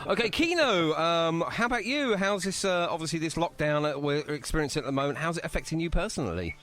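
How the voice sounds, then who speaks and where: Lively British man hosting casually. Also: a little high, fast. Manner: jokey, bantering. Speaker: radio podcast host. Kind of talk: casual chatty band interview.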